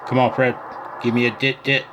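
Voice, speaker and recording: A man's voice: mid-range voice, level tone, brisk, background noise. A man talking casually to the camera in a salty, jokey, exclamatory way as an amateur radio operator, recorded on a handheld phone. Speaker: amateur radio operator filming his own contact. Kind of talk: casual excited talk to the camera.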